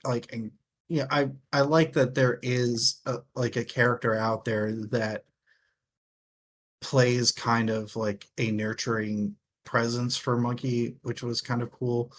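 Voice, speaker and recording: A man with an average-pitched voice talks through a book in a casual, halting way. He takes his time, often searching for the next phrase, and drops in offhand swearing. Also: flat delivery, slight background noise. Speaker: co-host of a book discussion show.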